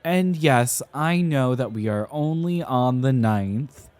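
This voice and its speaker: Man acting as young male architect, anxious rambler, earnest and dramatic, unhurried pace, careful enunciation, dry close-up ASMR roleplay. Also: mid-range voice, animated, darkish tone, dry recording. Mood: exasperated, defensive. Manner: enunciated, theatrical, hesitant rambling. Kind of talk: ASMR roleplay, speaking to the listener.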